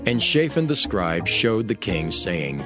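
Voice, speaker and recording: Expressive, lowish-voiced male scripture narrator. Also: takes it slowly, music in the background.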